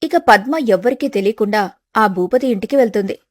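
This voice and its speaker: A woman's voice: mid-range voice, fairly quick, no room sound. A woman narrating a scripted family story as a storyteller.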